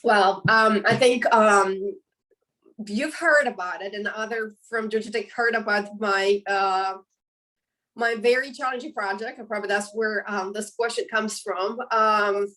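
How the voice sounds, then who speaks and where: A woman's voice: mid-range voice, steady tone, measured pace, pushed and bright, far from the mic, rough recording. A woman in her forties from Romania with a Romanian accent, answering questions in a webinar interview with a hesitant delivery full of ums and restarts. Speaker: professor and guest.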